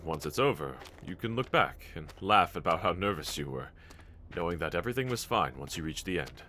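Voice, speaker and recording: A man performs a gallant young demon slayer with a deep, bright-edged voice. His delivery is earnest and formal yet tender, with expressive rises and falls as he comforts a companion in an anime audio roleplay. Background ambience is clearly audible. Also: a little fast, a little hiss or hum. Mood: gentle, reflective. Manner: gentle, reassuring, earnest, formal phrasing. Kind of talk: anime audio roleplay acting, speaking to the listener.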